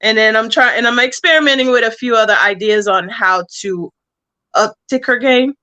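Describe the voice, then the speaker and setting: Lady guest chatting about her own creation, real bright forward voice, casual interview. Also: on the high side, moderate pace.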